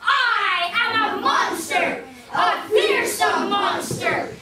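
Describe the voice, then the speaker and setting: A woman shouting as a booming cave monster. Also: expressive, a loud crowd, exaggerated voice. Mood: booming, chanting. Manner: slow, loud, chanted rhyme. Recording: echoey room, distant mic.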